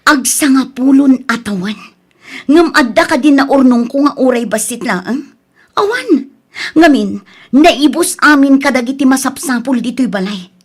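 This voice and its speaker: An actress plays a put-upon adult daughter in an audio drama. Her voice sits a little high and carries an Ilocano accent, earnest and full of reproach. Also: lively intonation, measured pace, breathy edge, heavily acted. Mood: exasperated, reproachful. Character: overburdened adult daughter and reproachful elder sister.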